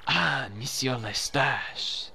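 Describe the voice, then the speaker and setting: A man voicing a melodramatic, lovesick male bomb creature with a French accent and a slow, sighing delivery. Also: medium pitch, creaky, bright, forceful tone, slight background noise, exaggerated voice.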